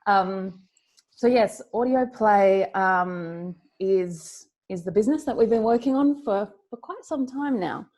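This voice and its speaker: A woman's voice: mid-range voice, unhurried, a little mellow. A woman explaining her business conversationally as a panel guest. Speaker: startup founder, panel guest.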